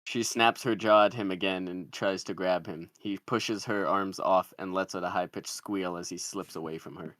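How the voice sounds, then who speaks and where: Low-voiced man narrating a script. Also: steady tone, moderate pace, hardly any pauses, a bit bright, no echo. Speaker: narrator reading stage directions. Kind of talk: scripted narration at a film table read.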